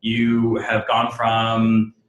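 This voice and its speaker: A man's voice: fairly low pitch, monotone, drawn-out words, a bit bright, highs cut off. A man hosting a webinar interview, upbeat and full of praise for his guest. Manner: upbeat, effusive. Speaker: webinar host. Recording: roomy with faint background noise.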